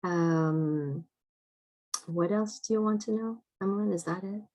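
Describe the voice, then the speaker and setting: A woman's voice: lowish voice, takes it slowly, warm tone, poor audio. A woman from Iran, a nonprofit director, giving a brief, understated introduction on a video call.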